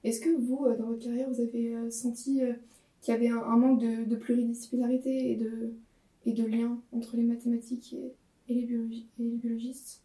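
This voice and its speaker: A woman's voice: fairly high pitch, level tone, mellow. A young woman, a university student, politely asking interview questions with some hesitations. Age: young adult. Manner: polite, slightly halting. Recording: echoey room, off-mic.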